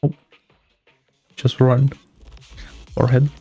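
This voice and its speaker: A young man giving casual gameplay commentary as a streamer, with a gravelly, husky voice. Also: mid-range voice, little pitch movement, slow pace, mellow. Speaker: game streamer reacting to story. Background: game music.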